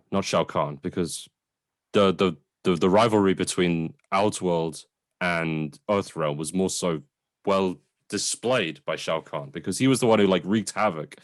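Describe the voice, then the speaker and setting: A man's voice: low voice, lively intonation, unhurried. A man co-hosting a casual, conversational film review, informal and sometimes sweary as he qualifies his opinions.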